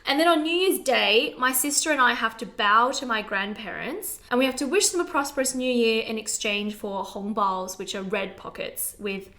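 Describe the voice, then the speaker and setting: A woman's voice: fairly high pitch, moderate pace, hardly any pauses, sharp tone. A young woman from China co-hosting a casual chat, playful and wry as she explains family customs. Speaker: podcast co-host.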